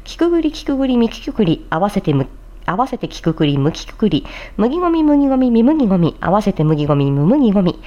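A woman's voice: medium pitch, sing-song, brisk, mellow, highs cut off. A woman who hosts a tongue-twister challenge like a voice actor, moving between carefully enunciated theatrical recitation and polite, chatty commentary. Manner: polite, chatty asides to viewers.

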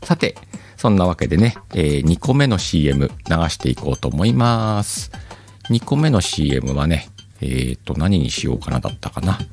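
A man's voice: very deep voice, very animated, moderate pace, darkish tone, faint music behind. A man hosting a podcast in casual solo talk, chatty and teasing, often chuckling to himself. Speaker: podcast host.

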